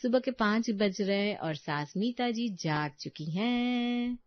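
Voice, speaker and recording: A woman's voice: mid-range voice, lively intonation, slow pace, no echo, lo-fi sound. A woman narrating a scripted family story expressively, addressing her listeners directly.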